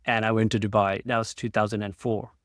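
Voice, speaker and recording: A man in his late thirties from Sri Lanka, a tech founder telling stories as an interview guest, halting with many restarts. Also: lowish voice, level tone, dry recording, clean studio sound. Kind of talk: casual interview storytelling.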